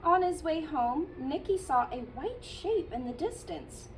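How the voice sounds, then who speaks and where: A childcare teacher, a woman, reading a picture book aloud to children in a gentle storytelling manner with playful asides. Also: sits high, expressive, measured pace, far from the mic, slight background noise, rough recording.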